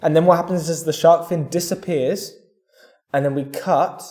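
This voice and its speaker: A man co-hosting a podcast, delivering mock-serious fan banter in a measured, deadpan way. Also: a little high. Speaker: podcast co-host. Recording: roomy, echoing room sound.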